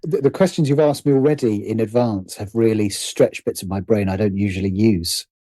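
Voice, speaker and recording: British man, middle-aged, low mellow voice, hesitant, self-deprecating, casual interview chat. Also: fairly quick, no echo. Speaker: podcast guest, writer and producer.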